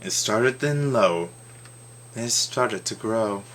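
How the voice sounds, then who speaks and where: A man giving a theatrical, expressive dramatic reading of a rhyming children's story, drawing out words for emphasis. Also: on the low side, takes it slowly, noisy background.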